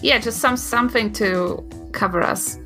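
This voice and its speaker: A woman's voice: a little high, moderate pace, over music. A woman with a New Zealand accent talking casually as a player in a tabletop game.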